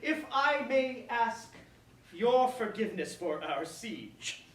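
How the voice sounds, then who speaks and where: A man in a staged reading, playing a smooth-talking conqueror who orates in verse in a grandiose, measured way. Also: very high-pitched, big pitch swings, fairly bright tone, exaggerated voice. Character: smooth-talking conquering male ruler addressing a crowd. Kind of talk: staged verse play oration. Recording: distant echoey room, background noise.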